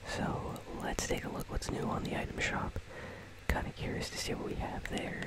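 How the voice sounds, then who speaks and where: A gaming channel host speaking entirely in a soft, breathy, unvoiced whisper, giving casual, rambling ASMR commentary. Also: normal speed. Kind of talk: ASMR whispered commentary on a game item shop.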